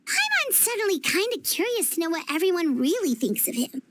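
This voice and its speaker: A woman's voice: very high-pitched, very animated, brisk, fairly bright tone, slight background noise, exaggerated voice. A woman voicing a tiny, chatty female companion who refers to herself by name in a bubbly way. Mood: curious. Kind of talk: video game voice acting. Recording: game audio with music behind.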